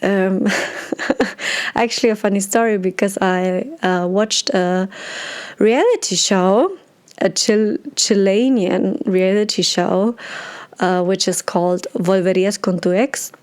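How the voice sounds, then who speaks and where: A young woman from Germany hosts her podcast, telling her personal story in a relaxed way, with many fillers and restarts. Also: medium pitch, takes it slowly, clear, resonant tone. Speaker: podcast host.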